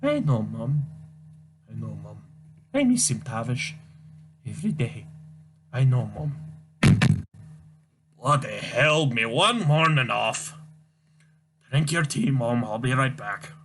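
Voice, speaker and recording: A man reading a comic aloud, voicing a gruff Scottish demolitions man with a low burr. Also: big pitch swings, takes it slowly, long pauses, a bit bright, exaggerated voice. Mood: weary.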